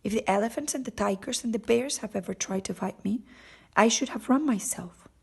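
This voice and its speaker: Woman voicing a big cowardly storybook lion, husky. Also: fairly high pitch, fairly quick, darkish tone, exaggerated voice. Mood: sad, self-pitying. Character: big cowardly male lion, sad and ashamed. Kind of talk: storybook character voice.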